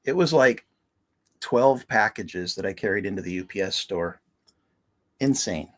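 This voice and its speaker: A man's voice: lowish voice, unhurried. A man co-hosting a casual livestream, explaining the products plainly and teasing his co-host with dry jokes.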